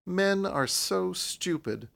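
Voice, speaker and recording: A man voicing a proud, reserved young woman writer in an audiobook, soft-spoken and cool. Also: sits high, expressive, slow, vocal fry, voice pushed up, heavily acted. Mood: scornful. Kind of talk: audiobook character voice.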